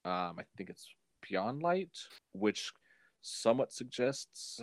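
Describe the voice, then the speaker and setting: A man with a low voice in a dry recording with little room sound. He talks casually as a podcast co-host, feeling his way through explanations and often rephrasing as he goes. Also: no echo. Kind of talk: casual chat.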